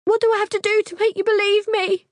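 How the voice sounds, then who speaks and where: A woman voicing a distraught young woman who pleads and sobs in a shrill, high voice. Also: flat delivery, fast, no echo, clean studio sound. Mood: desperate pleading. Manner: shrill, high, sobbing pleas.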